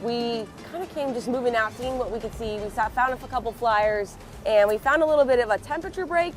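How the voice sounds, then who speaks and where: Young female boat vlogger, cheerful, a touch nasal, higher voice, music bed behind. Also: a little fast. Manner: upbeat, excited when a fish hits. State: slightly nasal from a head cold. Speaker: fishing channel host. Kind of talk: casual vlog narration on a boat. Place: outdoors on a fishing boat offshore.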